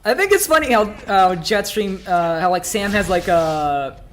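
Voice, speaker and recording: A man's voice: sits high, lively intonation, unhurried, a bit bright. A man from Brazil with a light Brazilian accent, chatting casually and rambling with many fillers while livestreaming a game. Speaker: streamer.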